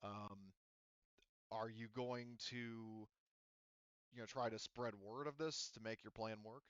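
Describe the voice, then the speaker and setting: Man, lowish smooth voice, game master, halting roundabout explanations, dry and slightly muffled online audio. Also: fairly even intonation, fairly bright tone, dry recording. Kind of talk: running a tabletop roleplaying game online. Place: remote online voice call.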